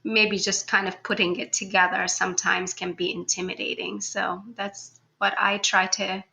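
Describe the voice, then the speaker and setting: A woman's voice: medium pitch, flat delivery, normal speed, gravelly, sharp tone, low-quality recording. A woman from Egypt talking casually and informally as a guest in an interview, chatty and given to laughing. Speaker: podcast guest, food blogger.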